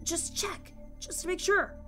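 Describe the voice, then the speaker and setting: A woman as a very high-pitched, anxious pony. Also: normal speed, faint music behind. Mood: hushed urging. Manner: hushed, urgent, pleading. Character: anxious, soft-hearted female pony, torn and urgent. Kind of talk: dramatic audio story character acting.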